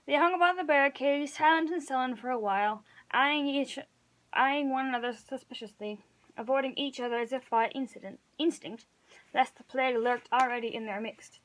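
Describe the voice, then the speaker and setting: A woman's voice: high voice, brisk, rough recording. A woman reading a story aloud as an amateur narrator, sometimes stumbling over words and correcting herself. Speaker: amateur reader of an audiobook read-along.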